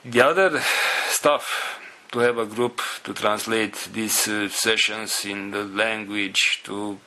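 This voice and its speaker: Slow, bright-voiced man with non-native English accent. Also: on the low side, fairly even intonation. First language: not English. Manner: solemn, halting delivery in short phrases. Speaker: spiritual group leader. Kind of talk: spiritual talk to followers.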